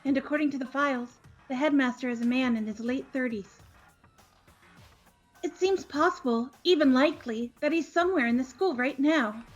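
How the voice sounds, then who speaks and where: A woman's voice: sits high, moderate pace, soft background music. A woman voicing a computer program assistant in an amateur game dub, with dull lo-fi sound. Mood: tentative, thoughtful. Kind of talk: amateur voice acting in a visual novel playthrough.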